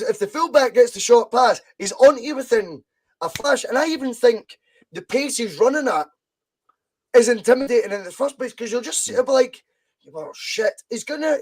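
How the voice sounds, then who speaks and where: High-voiced Scottish man, passionate football talk. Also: darkish tone, rough recording. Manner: passionate, emphatic, repeats for stress. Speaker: podcast host. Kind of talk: casual football chat.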